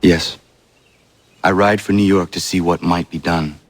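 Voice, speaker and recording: A stern, idealistic young warrior from a video game, with a fairly low voice. His delivery is formal, earnest and measured, over faint ambient noise. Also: fairly even intonation. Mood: earnest, hopeful. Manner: formal, measured, avoids contractions. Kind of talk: video game cutscene acting.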